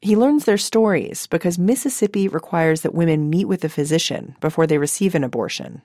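Low-voiced woman hosting a radio show. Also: lively intonation, fairly quick, mellow, no echo, studio quality. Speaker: radio host and interviewer. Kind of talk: scripted radio narration and interview.

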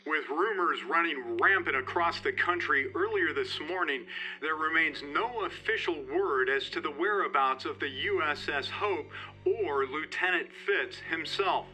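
A formal, urgent news anchor. His voice is very sharp and forceful and fairly high, in broadcast delivery over faint music. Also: a little slow, rough recording.

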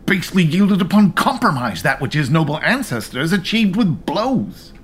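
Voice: mid-range voice, big pitch swings, normal speed, husky. An actor as Northumberland, a scheming noble. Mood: indignant. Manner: grave, turns to a hushed whisper. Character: Northumberland, a plotting rebel nobleman. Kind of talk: Shakespearean audio drama.

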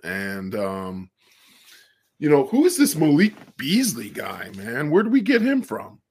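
A man with a deep voice talks sports at an easy, unhurried pace. He comes across as laid-back, dropping "man" and "dude" as he chats over a video call. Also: wildly swooping pitch, a little mellow, breathy edge. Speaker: guest co-host. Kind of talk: casual sports chat.

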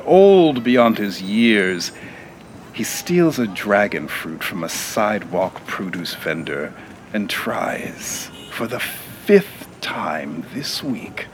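A man narrating a dark satirical audio drama as a solemn, wry, deliberate storyteller. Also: lowish voice, slight background noise, full character voice.

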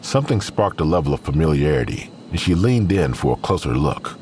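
A man with an extremely low voice reads scripted crime narration. His pitch moves in an animated way, over faint background noise. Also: fairly quick.

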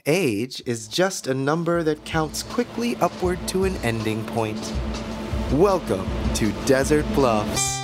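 An actor playing a relentlessly cheerful, eerie small-town radio host in a fiction podcast, with a smiling, theatrical, deliberate delivery. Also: male voice, medium pitch, sing-song, over loud music. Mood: eerily cheerful.

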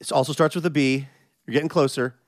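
A man hosting a radio show in a casual, chatty way, his voice a bit hoarse from a cold. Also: mid-range voice, fairly even intonation, fast talker. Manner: upbeat, chatty, jokey.

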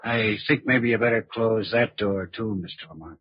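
A man with an American accent playing a sly, menacing blackmailer in an old radio drama, husky and sardonic. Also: on the low side, moderate pace, dry recording. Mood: smooth, insinuating. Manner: insinuating, sardonic. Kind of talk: 1940s radio drama acting. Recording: muffled old radio recording.

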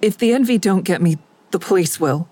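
A woman's voice: mid-range voice, brisk, mellow, natural voice. Anxious, conflicted woman, played by an actress in audio drama. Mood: worried.

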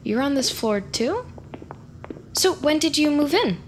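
A woman's voice: sits high, sing-song, unhurried, slight background noise. An actress playing an awkward, eager, lonely young woman who stammers and rambles nervously. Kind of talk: naturalistic audio drama acting.

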